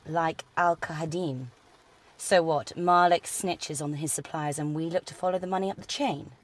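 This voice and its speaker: A woman's voice: low voice, fairly even intonation, normal speed, natural voice. A British woman playing a keen, dry-witted junior field officer in a radio drama, with a questioning manner. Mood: questioning, sceptical.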